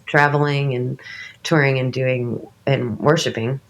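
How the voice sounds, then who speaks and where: A woman's voice: extremely low pitch, fairly even intonation, measured pace, slight background noise, poor audio. A woman in her late thirties, a worship leader fighting a cold, talking casually with many restarts and fillers. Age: late 30s. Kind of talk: casual conversation.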